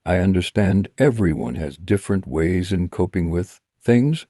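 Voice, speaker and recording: A man with a very low, dark voice reads his commentary in a measured, critical way. His tone is husky, and the recording is dry and close with no room sound. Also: dry recording. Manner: measured, critical, read from a script. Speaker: commentary channel host. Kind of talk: scripted commentary voice-over.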